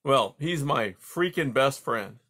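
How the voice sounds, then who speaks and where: A man's voice: medium pitch, lively intonation, takes it slowly, a bit bright, pitched above the performer's own voice. Guy as a sulky mouse kid, curt and slangy, mouthing off, storybook character. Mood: sullen. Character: surly, defiant young male mouse, rude son.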